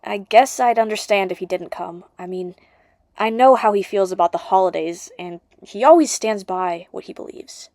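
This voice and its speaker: A woman's voice: mid-range voice, moderate pace, darkish tone, dry recording, clean studio sound, lightly acted. A woman voicing a flustered, lovestruck teenage girl, hesitant and questioning, in audiobook dialogue. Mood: resigned.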